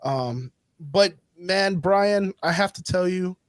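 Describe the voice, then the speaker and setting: A man's voice: high-pitched, slow, no room sound. Male podcast co-host, slightly sick, casual and profane, dry close recording. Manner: laid-back, swears freely. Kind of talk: casual chat.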